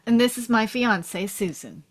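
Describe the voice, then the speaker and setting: A woman playing a proud, friendly male military veteran in a table read, measured and plain in delivery. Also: a little high, expressive. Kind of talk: informal screenplay table read.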